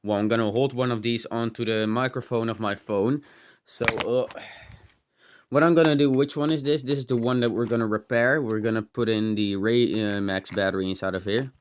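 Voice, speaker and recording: A man's voice: medium pitch, steady tone, moderate pace, a little hiss or hum. A man giving a casual, unscripted hands-on gadget demo, rambling and thinking aloud with some mild swearing. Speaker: host of a tech teardown channel.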